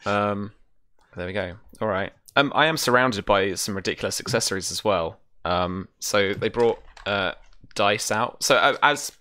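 A British man with a low, bright voice runs a tabletop game stream. He talks informally and reassuringly as he goes through the rules. The sound is close and dry. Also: normal speed, no echo. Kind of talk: casual livestream chat explaining a tabletop game. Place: small room at home, on a video call.

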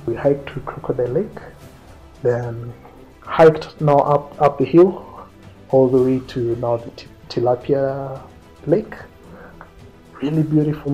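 Kenyan man, thirties, interview storyteller, relaxed dark tone over light background music. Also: medium pitch, low-quality recording. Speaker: interviewee recounting his own trip. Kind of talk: conversational storytelling to camera. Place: small quiet indoor room, seated. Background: faint music.

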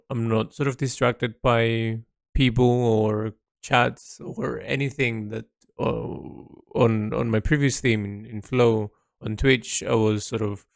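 A man speaking a reflective monologue as an artist's audio log, halting and introspective as he searches for words. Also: lowish voice, vocal fry, no room sound, studio quality.

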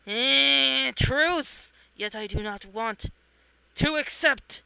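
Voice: high voice, extremely slow, vocal fry, pushed and bright, smooth, no room sound, poor audio. A person reading a comic aloud, acting out the characters in a theatrical, exclamatory way. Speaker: reader of own comic. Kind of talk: animated read-along of a comic, acting out characters.

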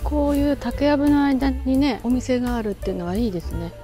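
Woman, chatty walking commentary, slightly high voice, handheld camera, music underneath. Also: steady tone, brisk, mellow. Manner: chatty, talks to viewers while filming. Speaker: vlogger touring a bonsai expo. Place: bonsai pavilion and garden tents, partly outdoors. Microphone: handheld camera, speaker off screen.

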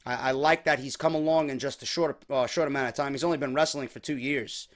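A young man, his voice slightly worn, hosting a casual wrestling talk show from a small home room on a desk microphone, energetic and chatty. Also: medium pitch, fast. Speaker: podcast host. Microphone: desk mic on boom arm.

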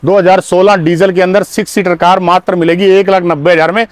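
A middle-aged man, a used car dealer, giving an energetic sales pitch outdoors on a car lot, speaking in punchy bursts and calling the viewers his brothers, recorded on a lapel mic. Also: a little fast. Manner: punchy, repeats "my brothers" often.

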